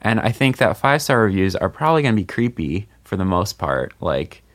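Low-voiced man hosting casually. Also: very animated, brisk. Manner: loose and rambling, many fillers. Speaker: podcast host. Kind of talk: casual chat.

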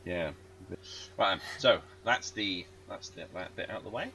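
A man's voice: sits low, moderate pace, bright, forceful tone, low-quality recording. A man hosting a casual podcast over a call, rambling and self-deprecating with lots of fillers. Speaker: podcast host.

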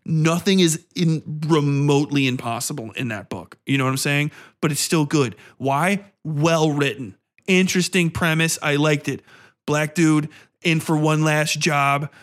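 A man's voice: fairly high pitch, a little slow, fairly bright tone. A man hosting a podcast, ranting casually in a sardonic, exasperated, heavily profane way. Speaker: podcast host. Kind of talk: casual opinionated rant.

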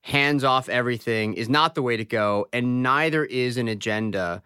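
Man, podcast host, conversational, thoughtful, smooth clear voice, dry sound. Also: mid-range voice, moderate pace, sharp tone, dry recording. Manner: thoughtful, conversational, hedging with fillers. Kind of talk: interview questions.

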